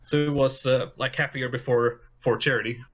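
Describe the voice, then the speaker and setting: A man's voice: medium pitch, fairly even intonation, fairly quick, a bit bright, slight background noise. A man from Sweden with a Swedish accent, a guest in a casual chat who tells things in an easygoing way with dry humor.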